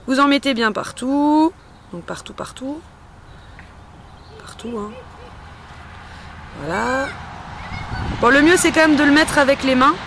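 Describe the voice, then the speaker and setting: A woman's voice: sits high, unhurried, long pauses, a bit bright, a little hiss or hum. A woman hosting a casual step-by-step tutorial in a chatty, playful and informal way. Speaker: host of a homemade beauty-care tutorial. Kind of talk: casual spoken step-by-step demonstration.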